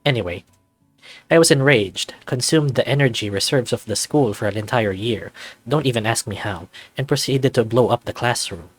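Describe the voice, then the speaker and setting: A man's voice: medium pitch, normal speed, dry recording, faint music behind, natural voice. A man voicing a sarcastic, anxious young furry student in a visual novel, narrating in a wry, self-deprecating, conversational way. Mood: cocky storytelling. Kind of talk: visual novel character voice-over.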